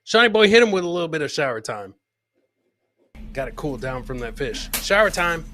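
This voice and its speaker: Bright-voiced male comedy podcast host. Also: on the high side, big pitch swings, fairly quick, slight background noise. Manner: crude, joking, slangy; repeats phrases for emphasis. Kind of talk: casual live clip commentary, chatting with viewers.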